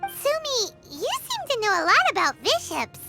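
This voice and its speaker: A woman voicing a small, childlike, bubbly fairy companion with a squeaky voice in a video game. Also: big pitch swings, normal speed, gravelly, full character voice. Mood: curious. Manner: bubbly, exclamatory, blunt. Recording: music behind the voice.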